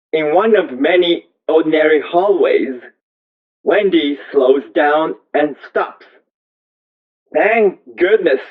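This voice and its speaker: A man reading a first-person story aloud as scripted narration, in slow, deliberate, measured phrases. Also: fairly high pitch. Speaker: audiobook reader. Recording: thin, phone-like narrow-band audio.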